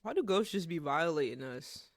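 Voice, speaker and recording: Creaky-voiced man reacting casually. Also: a little high, lively intonation, moderate pace, noisy background. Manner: slangy, profane, offhand. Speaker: reaction video host.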